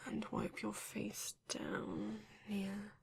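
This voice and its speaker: A woman playing a flirty, courteous vampire in an ASMR romance roleplay, breathy and often dropping to a whisper, with genteel, teasing phrasing. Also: mid-range voice, monotone, full character voice. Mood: tender, flirtatious. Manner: slow, genteel, teasing, old-fashioned wording. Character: flirty, courteous vampire living alone in a castle.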